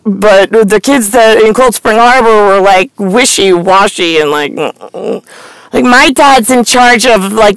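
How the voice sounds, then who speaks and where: A forty-something woman delivers a rambling, stream-of-consciousness monologue. Her voice sits at an average pitch, with a blunt, sardonic manner. The sound is close and dry, as recorded inside a car. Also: clear, resonant tone, dry recording, poor audio. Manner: blunt, sardonic, profane, animated hand gestures. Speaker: vlogger. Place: inside a parked car at night.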